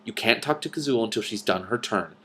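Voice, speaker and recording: A man's voice: lowish voice, lively intonation, brisk, fairly bright tone. Man doing a cross, officious storybook dragon. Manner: cross, dismissive, blunt. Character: gruff, officious olive-green male dragon, easily annoyed.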